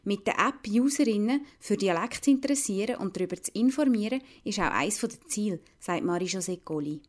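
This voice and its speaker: A woman's voice: medium pitch, animated, fairly quick. A woman with a Lucerne Swiss German accent, narrating a scripted radio report as a reporter.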